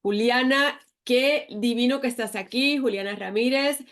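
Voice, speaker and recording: A woman's voice: a little high, measured pace, fairly bright tone. A woman hosting a parenting podcast, reading the introduction and then chatting casually with the guest. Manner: reads the intro, then chats loosely with laughs. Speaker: podcast host. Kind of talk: scripted introduction and casual chat.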